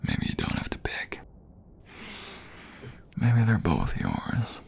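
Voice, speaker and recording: A man's voice: lowish voice, wildly swooping pitch, darkish tone, in a whisper, full character voice. A man acting an adorkable, gushing nerdy jock boyfriend in an intimate audio roleplay, affectionate and teasing. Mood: soft, intimate. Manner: affectionate, teasing, breathy pauses and chuckles.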